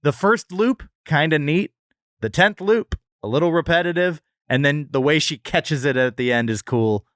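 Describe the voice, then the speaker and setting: A man's voice: fairly high pitch, normal speed, a bit bright, no echo. Wry man chatting on a podcast, dry sound. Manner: wry, joking. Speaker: podcast co-host. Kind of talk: casual comedic review chat.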